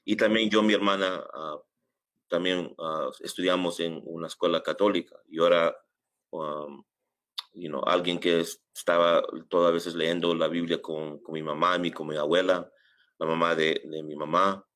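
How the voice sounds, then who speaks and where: Deep-voiced man, halting storyteller. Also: flat delivery, a bit bright. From United States, New York. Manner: halting, sprinkles English filler phrases into Spanish. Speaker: imam, interview guest. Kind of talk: casual personal storytelling in an interview.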